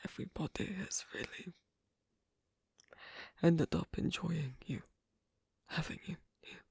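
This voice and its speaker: Man playing a hushed, husky, worried fantasy royal. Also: a little high, no echo, clean studio sound, full character voice. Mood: kind, hesitant. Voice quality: breathy, husky whisper. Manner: halting, hushed, careful, formal phrasing. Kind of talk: ASMR story roleplay.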